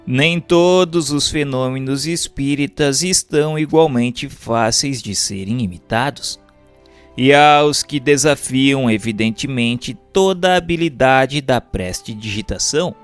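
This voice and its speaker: A man's voice: mid-range voice, a little slow, clean tone. A man with a Brazilian accent reading a book aloud as formal, didactic scripted narration, enunciating carefully. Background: music.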